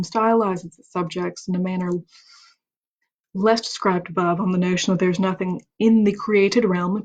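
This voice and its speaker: A woman hosting a live stream, reading a book aloud in a steady voice. She stumbles on some words, restarts them and stops for chatty asides. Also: fairly low pitch. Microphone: computer mic on a home live stream.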